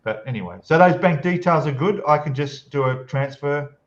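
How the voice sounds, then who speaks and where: A man hosting a casual live stream hangout with friends over a voice call, chatty and quick to laugh. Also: fairly high pitch, lively intonation, lo-fi sound. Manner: laughs easily, chatty and joking. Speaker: channel host.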